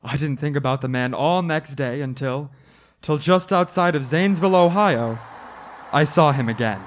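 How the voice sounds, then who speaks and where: An actor playing an anxious motorist who narrates a radio drama earnestly and stammers when flustered. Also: male voice, fairly high pitch, normal speed, natural voice. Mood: reflective, uneasy. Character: anxious male motorist haunted by a hitchhiker, narrating.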